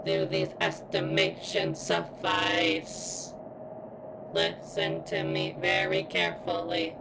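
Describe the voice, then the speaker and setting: A woman voicing a damaged female surveillance robot in an ASMR roleplay, speaking in formal, clinical, halting phrases with a strained, pained delivery. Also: extremely low pitch, sing-song, creaky, noisy background, full character voice. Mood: halting, urgent. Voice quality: strained, as if in pain. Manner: formal, clinical, stilted wording with halting pauses. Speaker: voice actor in audio roleplay. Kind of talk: ASMR roleplay, scripted character monologue.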